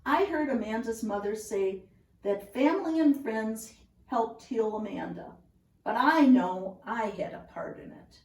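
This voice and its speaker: Slow-reading woman in a roomy, distant recording. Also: a little high, darkish tone. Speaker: storybook reader. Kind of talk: reading a children's picture book aloud. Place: indoors at home.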